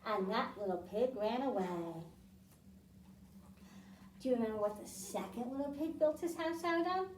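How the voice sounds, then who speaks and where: A woman librarian hosting a children's storytime and science lesson in a library, speaking slowly and clearly and asking the kids questions. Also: fairly high pitch, darkish tone. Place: library room, indoors. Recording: echoey room, mic at a distance.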